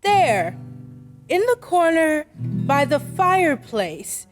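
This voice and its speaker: Woman as a high-voiced, lively radio-play mother. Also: slow, soft background music, exaggerated voice. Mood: wondering disbelief. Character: sensible, puzzled mother of a young girl. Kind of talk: old-time radio drama acting.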